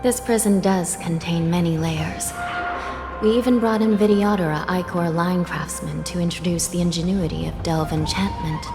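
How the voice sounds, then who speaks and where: A woman's voice: on the low side, fairly even intonation, normal speed, lightly acted. An actress voicing a solemn young female judge of the underworld in a video game, polite and formal, with wistful, ominous delivery. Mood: grave warning. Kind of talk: scripted video game dialogue. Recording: long hall reverb, music behind.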